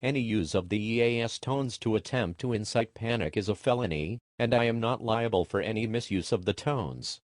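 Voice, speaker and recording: A man's voice: on the low side, normal speed, no echo, clean studio sound. A man reading a short disclaimer in a plain, matter-of-fact way. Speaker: video creator giving a disclaimer.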